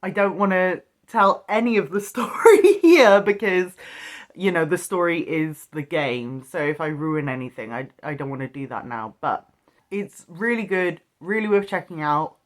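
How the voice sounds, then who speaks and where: A woman's voice: on the low side, lively intonation, normal speed. A woman giving a casual, chatty guest review of a game in an informal, giggly manner.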